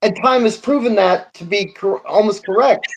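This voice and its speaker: A man talking sports casually as a panelist, reflective and halting, often repeating words as he builds a point. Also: high voice, muffled recording. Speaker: sports talk show co-host or guest.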